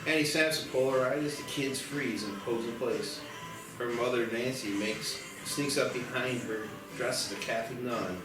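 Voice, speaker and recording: A man's voice: mid-range voice, normal speed, music in the background. A man reading out screenplay stage directions as narrator at a table read. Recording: echoey room, distant mic, faint noise.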